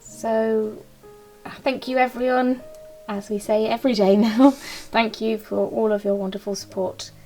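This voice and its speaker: A woman's voice: a little high, flat delivery, measured pace, warm tone. A woman co-hosting a craft video, chatting informally with an appreciative, exclamatory manner. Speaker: craft channel co-host. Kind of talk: casual crafting chat. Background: background music.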